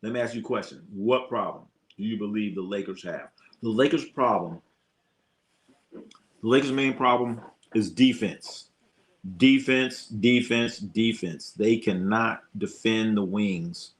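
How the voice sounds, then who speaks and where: A man's voice: lowish voice, measured pace. An American man hosting a sports livestream in casual, slangy talk, giving blunt opinions and repeating phrases for emphasis. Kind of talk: casual live sports commentary, opinionated chat.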